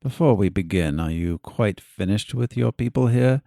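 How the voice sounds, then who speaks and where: An actor playing a scheming doctor in an audio drama, formal, deliberate and wry as he bargains. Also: lowish voice, creaky, dark tone, dry recording, studio quality. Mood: smooth scheming. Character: scheming, duplicitous doctor, adult man, bargaining smoothly.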